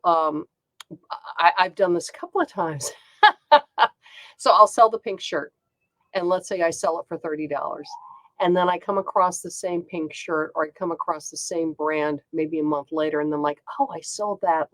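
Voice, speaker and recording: A woman's voice: lowish voice, no room sound, rough recording. A woman with a congested voice hosting a casual chat, talking in a chatty way with frequent ums. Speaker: host.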